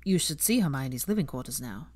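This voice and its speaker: A woman's voice: sits low, expressive, a little fast, darkish tone, dry recording. A woman voicing a cool, reserved young man in an audiobook, dry and understated. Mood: dry amusement. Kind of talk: fan fiction audiobook character voice.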